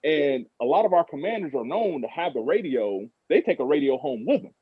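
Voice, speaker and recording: A man's voice: fairly high pitch, sing-song, a little fast, slightly rough, no room sound. An American man in his thirties telling stories casually and informally as a podcast guest. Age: 30s. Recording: phone-like thin radio sound.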